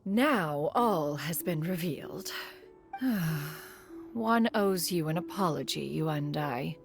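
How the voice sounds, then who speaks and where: A woman voicing an ancient female crane spirit in human form, speaking in an archaic, formal way and calling herself "one" as she tells a disciple a story over soft music. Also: deep voice, animated, takes it slowly, creaky, exaggerated voice. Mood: wistful. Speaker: master recounting a story to a disciple. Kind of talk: video game voice acting.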